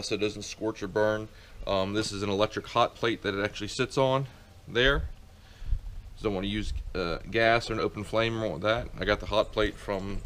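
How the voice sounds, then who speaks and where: A man's voice: fairly low pitch, a bit bright, a little hiss or hum. A middle-aged man giving a casual outdoor how-to as a beekeeper, rambling with many fillers and restarts, recorded on a camera mic in a farmyard.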